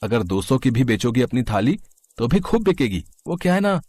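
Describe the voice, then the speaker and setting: A man voicing a poor but shrewd carpenter father, coaxing and ingratiating, in an animated moral story. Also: mid-range voice, moderate pace, slightly rough, dry recording. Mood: coaxing, persuasive.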